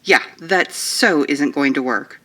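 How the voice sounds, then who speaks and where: A woman's voice: low-pitched, slow, fairly bright tone, dry recording, voice pushed down, heavily acted. Woman playing a sarcastic, incredulous young heroine. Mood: wary. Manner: grumbling, incredulous.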